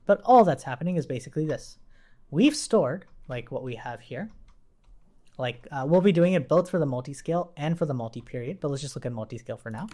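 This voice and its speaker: A man's voice: a little high, a little mellow. A man giving a casual coding tutorial as an instructor, informally thinking aloud as he works. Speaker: programming instructor.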